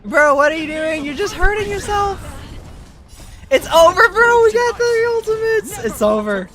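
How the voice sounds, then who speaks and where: A game streamer doing casual, excitable live commentary in slangy talk peppered with bro and dude. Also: very high voice, moderate pace, over music.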